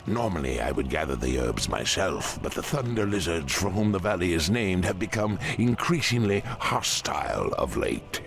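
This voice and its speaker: An older man playing a wise old orc shaman elder in a fantasy game, courteous, measured and formal. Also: low voice, sing-song, vocal fry, music in the background, exaggerated voice.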